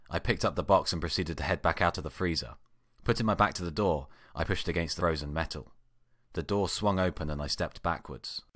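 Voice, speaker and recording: A man with a low voice reads fan fiction aloud as an amateur narrator. The sound is dry and close, with little room around it. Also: a bit bright, dry recording. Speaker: amateur story reader.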